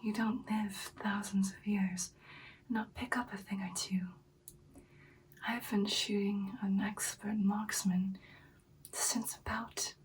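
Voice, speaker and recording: Woman doing an ancient vampire gf asmr, all breathy whisper, confident n unhurried, bit far from mic, faint hiss. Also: mid-range voice, flat delivery, close to an everyday voice. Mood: softly confident. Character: ancient confident female vampire girlfriend, expert sniper. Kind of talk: ASMR roleplay.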